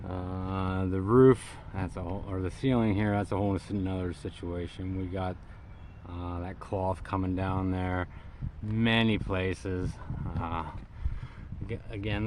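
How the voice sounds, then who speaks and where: A man giving an unscripted, casual vlog walkaround, talking in a laid-back, halting, wryly resigned way. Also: sits low. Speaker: vlogger showing his own vehicle. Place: in and around an old SUV. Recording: noisy, vehicle ambience.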